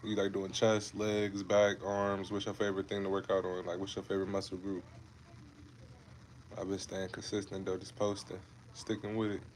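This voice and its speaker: A man's voice: low-pitched, completely flat delivery, moderate pace, slight background noise. A man in his twenties from Ohio, chatting casually with viewers on a fitness livestream, laid-back and repetitive, calling them bro and man. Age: 20s. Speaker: fitness creator hosting a livestream.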